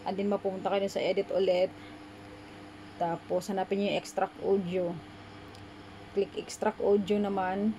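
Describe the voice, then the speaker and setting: A woman's voice: mid-range voice, level tone, moderate pace, pauses often, warm tone, slight background noise. A woman with a Filipino accent giving a casual step-by-step app tutorial, informally mixing Tagalog and English in an amateur voice-over. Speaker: tutorial host.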